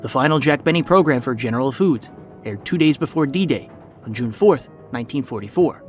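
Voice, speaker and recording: A man's voice: mid-range voice, animated, fairly quick, soft background music. A man reading scripted documentary narration as a podcast host.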